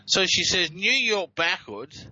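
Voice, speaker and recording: A man's voice: high voice, big pitch swings, measured pace, sharp tone, dull audio, a little hiss or hum. A man presenting and explaining recorded clips in a casual, hesitant way, with many fillers and restarts. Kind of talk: casual explanatory talk over played clips.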